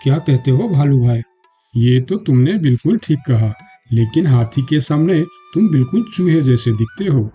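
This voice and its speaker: Mellow-toned proud jungle beast, played by a man. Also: mid-range voice, lively intonation, moderate pace, slightly rough, dull audio, soft background music. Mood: boastful. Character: proud, powerful male jungle animals: lion king, bear. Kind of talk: Hindi animated fable dialogue.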